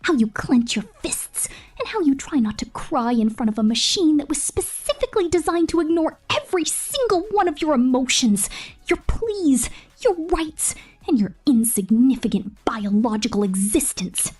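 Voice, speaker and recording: A woman acts out a sadistic female killer drone who treats her listener as a toy. Her voice sits a little high and is husky with breath, often sinking into a whisper. She draws out her threats with possessive, mocking menace, in the intimate style of an ASMR roleplay. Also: animated, darkish tone. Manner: menacing, taunting, drawn-out dramatic delivery.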